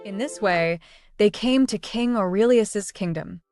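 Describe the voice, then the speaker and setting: A woman's voice: medium pitch, measured pace, a little mellow. A woman reading a scripted fairy tale as its narrator. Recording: music under the voice. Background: prominent music.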